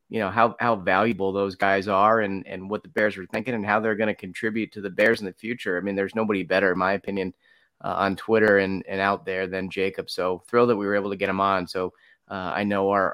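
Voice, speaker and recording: A man's voice: lowish voice, fairly bright tone, dry recording. A man co-hosting a casual sports podcast, with a dry teasing humor. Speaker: podcast co-host.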